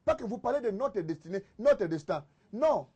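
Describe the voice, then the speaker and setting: A man's voice: high-pitched, sing-song, normal speed, a little mellow, slightly rough, lo-fi sound. A man with a Congolese accent arguing insistently in a political TV debate, repeating phrases for emphasis. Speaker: debate panelist, political commentator.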